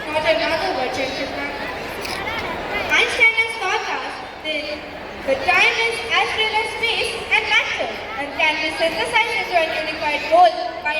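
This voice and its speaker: A woman acts a pompous teacher conducting an exam, declaiming earnestly on stage. Her voice is very high, heard distantly through heavy echo and hall noise. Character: pompous schoolteacher examiner twisting logic earnestly. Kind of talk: amateur stage play acting. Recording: echoey noisy hall, distant mic.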